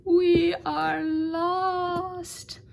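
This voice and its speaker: A woman's voice: extremely high pitch, little pitch movement, very slow, creaky, a little hiss or hum, voice pushed up, heavily acted. A woman voicing alarmed townspeople in a storybook, crying out urgently. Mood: despairing. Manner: urgent, exclaiming.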